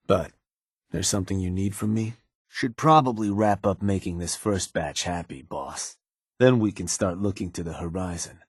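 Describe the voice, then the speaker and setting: An actor playing a shrewd, laid-back raider advisor to a gang boss in a video game, with a casual, deferential manner and a husky voice. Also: male voice, deep voice, darkish tone, no room sound, clean studio sound. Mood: businesslike. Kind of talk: video game character dialogue.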